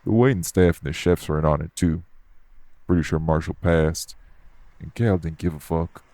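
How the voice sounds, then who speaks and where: A man acts out a gruff, unbothered nightclub tough guy for an audio roleplay. His voice sits very low, with heavy gravel and a dark, relaxed tone. He speaks bluntly in streetwise slang, straight to the listener. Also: normal speed, a little hiss or hum. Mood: casual, teasing. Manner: blunt, laid-back, streetwise slangy talk.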